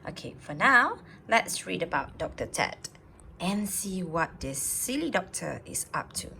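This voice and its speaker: Lady reading a picture book to kids, bright lively voice, slowish, teachery, stretches out words. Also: lowish voice, vocal fry, slight background noise. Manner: teacherly, expressive, drawn-out words. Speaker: storybook reader for young children. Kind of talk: read-aloud storytelling with questions to children.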